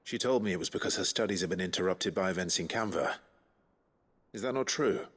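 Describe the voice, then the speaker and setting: An actor playing a calm, kindly man who speaks gently and patiently in a video game. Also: sits low, slightly rough. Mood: sober, reassuring. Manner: measured, gentle, encouraging. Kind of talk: video game dialogue acting.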